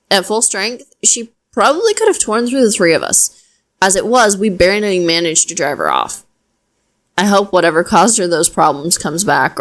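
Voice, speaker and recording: A woman playing a caring, frank witch in an audio roleplay, speaking to the listener in a gentle, conversational way. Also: medium pitch, close to an everyday voice. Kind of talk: intimate audio roleplay acting, addressing listener.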